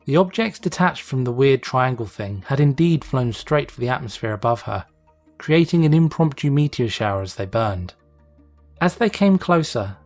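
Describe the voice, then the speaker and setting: Male narrator for dramatic fiction reading, mid-low everyday pitch range, soft music bed underneath. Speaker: fan fiction reader, narrator. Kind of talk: dramatic scripted story narration.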